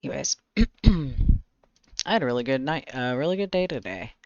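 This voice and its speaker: A man with a slightly raspy voice chatting casually on a livestream, rambling and pausing to search for words. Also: mid-range voice, expressive, no room sound, muffled recording. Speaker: streamer, webcomic artist. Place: indoors, livestream.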